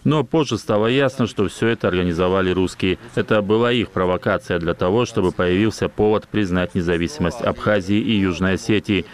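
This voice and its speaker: A man reading a translated news voice-over in a measured, even way. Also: sits low.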